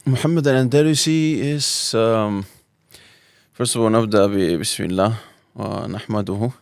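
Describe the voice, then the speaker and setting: A man's voice: lowish voice, clear, resonant tone, no echo, studio quality. A man in his thirties from Spain, a podcast guest telling his life story in a thoughtful way, often restarting and mixing in Arabic phrases. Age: 30s. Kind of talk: relaxed personal storytelling.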